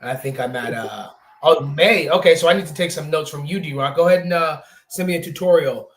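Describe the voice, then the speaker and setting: A man's voice: fairly high pitch, fairly quick. A young man in his late twenties hosts a laid-back sports show. He talks in loose, rambling runs, full of ums and restarts, and keeps the chat moving between guests. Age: 20s. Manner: chatty, rambling, many fillers. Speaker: podcast host. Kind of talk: casual sports talk.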